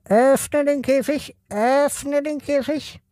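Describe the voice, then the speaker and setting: Very high-pitched little canary. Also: male voice, steady tone, takes it slowly, a little mellow, no echo, clean studio sound. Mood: urgent, pleading. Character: small nervous male pet canary.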